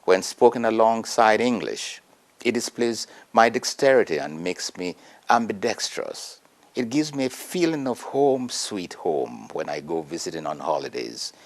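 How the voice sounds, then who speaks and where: A man reading a prepared essay aloud in a measured, earnest way. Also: lowish voice, animated. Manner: measured, earnest oratory with emphatic rises.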